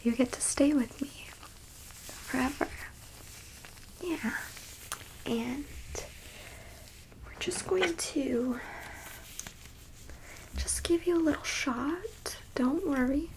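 A woman playing a haughty, squeamish alien abductor in an ASMR roleplay, whispering slowly and condescendingly as she coaxes a captive human. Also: high-pitched, a little hiss or hum, poor audio. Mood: condescending, menacing, then soothing. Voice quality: breathy whisper. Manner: slow, condescending, coaxing.

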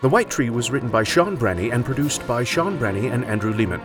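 A man's voice: medium pitch, big pitch swings, fast, over loud music. A man reading scripted credits as a radio drama announcer.

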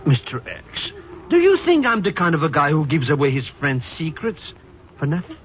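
An excitable, scheming cafe fiddler in an old radio drama. His voice leaps wildly up and down as he wheedles and puts on airs in broken grammar. The sound is muffled and hissy. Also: fairly high pitch, moderate pace. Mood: wheedling, sly. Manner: mangled grammar, wheedling, self-important. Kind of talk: 1950s radio drama acting.

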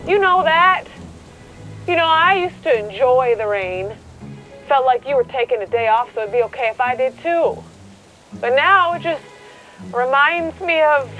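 A woman's voice: sits high, a bit bright. An actress in a radio play playing a grieving, exasperated rural woman who pleads and argues, stammering at times. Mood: subdued, weary. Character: grieving, angry rural woman arguing with God. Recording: thin phone-like sound with music.

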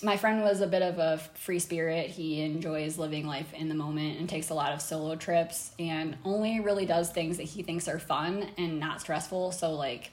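A woman's voice: low voice, moderate pace, few pauses, reverberant. A woman hosting a casual podcast, telling a story from memory in a rambling, laughing way. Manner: rambling, many fillers and restarts, laughs easily. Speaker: podcast host.

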